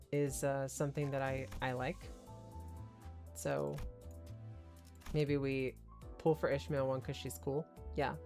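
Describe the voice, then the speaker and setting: Role: hoarse, tired male streamer. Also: medium pitch. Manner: rambling, thinking aloud. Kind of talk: casual gameplay commentary.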